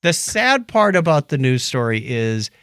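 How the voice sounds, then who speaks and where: A man's voice: mid-range voice, a little slow, clean tone, dry recording, studio quality. A middle-aged man hosting a casual podcast, chatting in a playful storytelling manner. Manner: animated, playful storyteller, acts out dialogue and hums. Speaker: podcast host. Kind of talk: casual co-hosted podcast chat.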